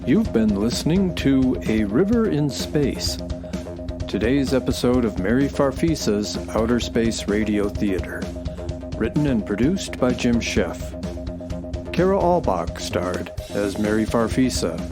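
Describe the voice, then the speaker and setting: Man announcer, credit reading, friendly, lively pitch, music clearly behind. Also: measured pace.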